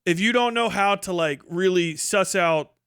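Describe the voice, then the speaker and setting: Guy livestreamer ranting casually, higher voice, really dry close sound, swears a lot. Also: normal speed, a bit bright, clear, resonant tone, no echo. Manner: rambling, sweary, emphatic. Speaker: livestream host. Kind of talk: casual opinionated commentary.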